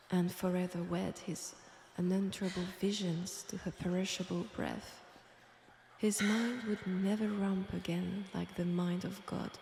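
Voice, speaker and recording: A woman with a French accent playing an eerie, seductive guide of a magic library in a breathy, half-whispered ASMR roleplay. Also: mid-range voice. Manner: slow, deliberate, hushed. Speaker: roleplay voice actor. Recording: roomy long reverb, faint background noise.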